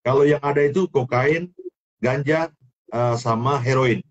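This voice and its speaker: Halting man with a Sulawesi accent. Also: medium pitch, lo-fi sound. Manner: repetitive, halting, many restarts. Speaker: guest, former film actor turned minister. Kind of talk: testimony interview.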